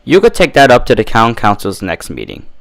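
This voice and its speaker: A man voicing a matter-of-fact pony building inspector in an officious, measured manner. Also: mid-range voice, huge pitch swings, fairly bright tone, no echo. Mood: dry, officious.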